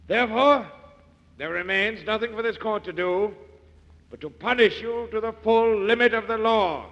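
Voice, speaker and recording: Stern, high, bright-voiced radio judge. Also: male voice. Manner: formal, declamatory. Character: stern, solemn male courtroom judge. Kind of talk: 1940s radio acting. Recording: hollow reverberant old radio recording, dull.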